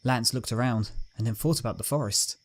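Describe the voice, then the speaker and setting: A man narrating a fan fiction story in a steady storytelling manner. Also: medium pitch, a little fast.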